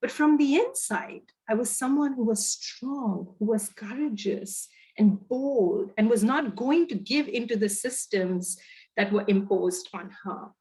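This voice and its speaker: A woman's voice: on the high side, measured pace, low-quality recording. A woman from India with an Indian accent, giving a reflective storytelling presentation on a video call. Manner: reflective storytelling, lively emphasis. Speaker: guest speaker. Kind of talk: webinar presentation.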